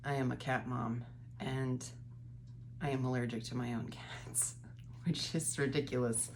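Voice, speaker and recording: A congested woman in her thirties records a late-night vlog at home, her voice sitting unusually low. She talks openly and wanders between thoughts, now and then cursing for emphasis. A faint hum runs underneath the phone-mic recording. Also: little pitch movement, a little slow, a little mellow. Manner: candid, rambling, occasional swearing. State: stuffy, congested nose. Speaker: vlogger.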